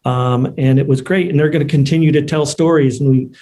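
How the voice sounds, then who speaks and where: A man's voice: mid-range voice, steady tone, brisk, warm tone. A man hosting and moderating an event in an informal, conversational way. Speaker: host, moderator.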